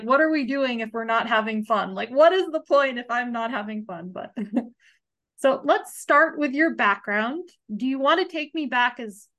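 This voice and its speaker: Bright, upbeat woman podcast host. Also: on the high side. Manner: upbeat, laughs easily. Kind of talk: interview podcast chat.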